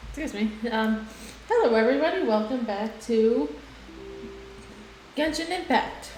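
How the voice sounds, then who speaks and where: A woman with a fairly high voice streams a game at an unhurried pace. Her talk is casual livestream chat, recorded with some echo and music behind. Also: expressive, a little mellow. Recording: echoey room, music behind.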